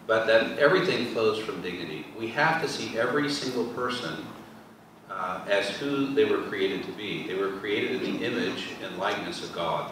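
A middle-aged deacon speaking in a measured, earnest way into a table mic on a panel. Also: male voice, on the low side, a bit bright, a little hiss or hum. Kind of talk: panel discussion. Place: large church hall. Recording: echoey hall from far off.

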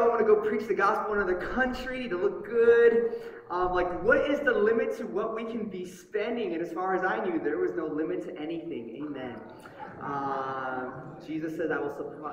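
A young man preaching from a church stage on a headset mic, telling a story in an animated, joking way. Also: fairly high pitch. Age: young adult. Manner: animated, joking, gestures broadly while talking. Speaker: pastor. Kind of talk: storytelling sermon. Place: church sanctuary stage with pulpit. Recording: echoey hall, mic heard from far off.

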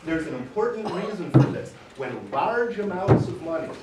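Loud, forceful male witness, forties, off the cuff; distant camera mic, echoey hearing room. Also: high-pitched, sing-song, moderate pace, heavy background noise. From Michigan. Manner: emphatic, gesturing, pounds the table. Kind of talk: unscripted testimony. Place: large wood-panelled hearing room. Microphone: far camera.

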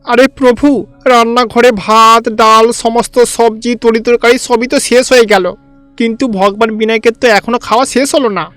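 A man's voice: very high voice, fairly even intonation, normal speed, fairly bright tone, no room sound, faint music behind, voice pushed up. A man voicing a frightened, humbled rich god-king in a cartoon fairy tale, pleading and flustered. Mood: worried.

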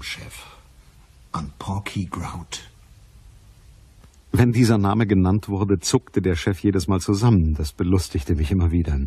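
Husky hard-boiled detective. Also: male voice, low-pitched, dark tone. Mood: wry narration. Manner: matter-of-fact, wry. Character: hard-boiled, dry, commanding male private detective. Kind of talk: German crime radio play, dialogue and narration.

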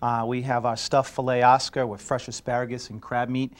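A man's voice: mid-range voice, flat delivery, fairly quick. A man in his forties, a chef and owner, answering interview questions about his restaurant. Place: inside a restaurant bar, dining room and kitchen. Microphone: lapel mic. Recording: faint restaurant background noise.

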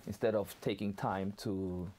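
Man in his forties from Norway, very low voice, tired and easygoing, casual guest. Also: steady tone, unhurried, darkish tone, slight background noise. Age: 40s. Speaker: guest rapper. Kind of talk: casual interview chat. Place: cluttered storage room indoors.

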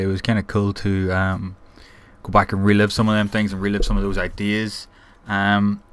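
A man hosting his gaming channel, chatting casually and reflectively to viewers in a rambling way with many restarts. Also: on the low side. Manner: rambling, lots of fillers and restarts.

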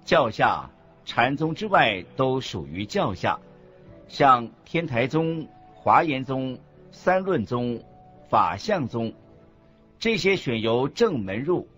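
A man reading scripted religious teaching as a narrator, in a deliberate, instructive manner. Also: medium pitch, sing-song, fairly bright tone. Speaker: narrator of a Buddhist teaching radio program. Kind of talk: scripted didactic narration in a radio program. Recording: muffled lo-fi audio with music underneath. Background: background music.

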